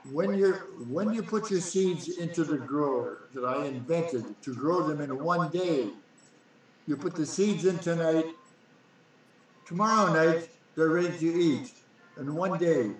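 Man, 90s, slightly high voice, insistent, repeats himself, stumbles, very echoey. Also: measured pace, far from the mic. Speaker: guest, sprout grower inventor. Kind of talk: casual interview.

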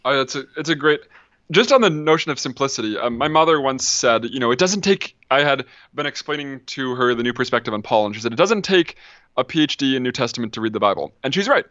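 A man's voice: mid-range voice, lively intonation, fast, fairly bright tone, no room sound, dull audio. A man, an ancient historian, talking as a podcast guest, thinking aloud with lots of ums and restarts. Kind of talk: casual academic discussion.

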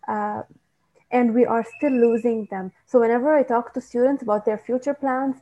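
A woman's voice: a little high, fairly even intonation, mellow. Earnest Lebanese woman on a dull call line. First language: Arabic. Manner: earnest, measured, with hesitations. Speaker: student ministry coordinator. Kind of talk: interview answers.

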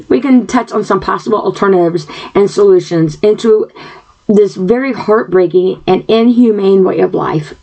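A woman at home talking straight into her computer microphone, earnest and passionate, drifting from point to point. She fills her pauses with ums and keeps clearing her dry throat. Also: medium pitch, darkish tone, low-quality recording. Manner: earnest, sidetracked, frequent fillers and throat clearing. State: dry mouth. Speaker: podcast host. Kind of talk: rambling personal monologue.

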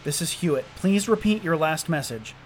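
A man's voice: on the high side, expressive, moderate pace, close to an everyday voice. A man playing a sardonic, irritable starship lieutenant stranded after a disaster, muttering in exasperation in a science fiction audio drama. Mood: uneasy, annoyed. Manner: exasperated, muttering, hesitant asides. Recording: clearly noisy track with clicks.

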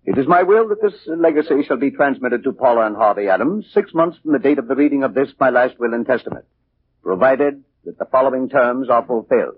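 Stiff, formal attorney, middle-pitched husky voice, muffled old radio drama. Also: male voice, expressive, fairly quick. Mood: solemn, formal. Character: formal, firm male lawyer reading a will. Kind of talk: 1940s radio thriller acting. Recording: muffled, narrowband 1940s radio recording.

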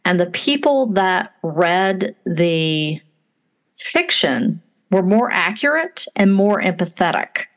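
A woman with a fairly low voice talks as a podcast host. She speaks casually and reflectively, thinking aloud in a conversational flow sprinkled with "like". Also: sing-song, takes it slowly.

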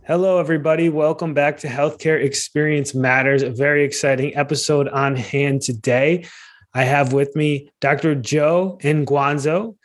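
A man hosting a podcast, reading a formal introduction and welcoming his guest at a measured pace. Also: on the high side, steady tone. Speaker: podcast host. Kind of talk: scripted podcast intro and interview.

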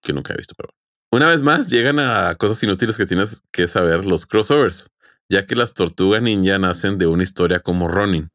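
Deep-voiced Mexican man. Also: animated, fairly quick, fairly bright tone, dry recording, studio quality. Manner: jokey, colloquial. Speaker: podcast host. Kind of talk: casual podcast storytelling with friends.

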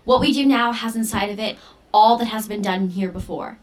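An actress playing an earnest, defiant young daughter in an audio drama, speaking with intense, deliberate, poetic phrasing. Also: female voice, fairly high pitch, lightly acted, rough recording. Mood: solemn, resolute. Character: earnest, defiant teenage daughter preparing for ritual role. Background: music under the voice.

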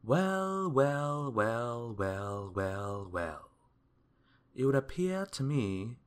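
A man's voice: mid-range voice, very animated, slow pace, a bit bright, voice pushed up, exaggerated voice. A man voicing a wordy, dithering but kindly old wizard from a storybook, rambling politely and hesitantly. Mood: astonished, reproving.

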